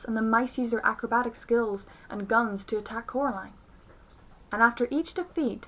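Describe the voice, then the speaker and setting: A young woman narrates a film review from a script. Her voice sits a little higher than most women's, and she speaks as the reviewer presenting commentary. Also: a bit bright, low-quality recording.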